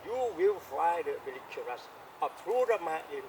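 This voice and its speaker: Thai-accented man, gravelly, distant and noisy. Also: fairly high pitch, expressive, moderate pace. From Thailand. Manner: earnest, emphatic, repeats key phrases. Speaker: Buddhist Dharma teacher. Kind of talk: slow deliberate Dharma talk.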